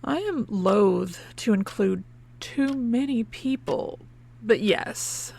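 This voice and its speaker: An actress playing a determined, serious occult investigator in an audio horror drama, husky and formal in her wording. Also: medium pitch, takes it slowly, darkish tone, exaggerated voice. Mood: resolute.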